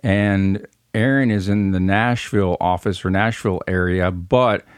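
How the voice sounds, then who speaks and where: This is a middle-aged man from Michigan with a fairly low voice. He talks casually and a little haltingly as a podcast guest. The recording is dry, without room sound. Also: steady tone, smooth, dry recording. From Michigan, United States. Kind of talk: casual conversational answers.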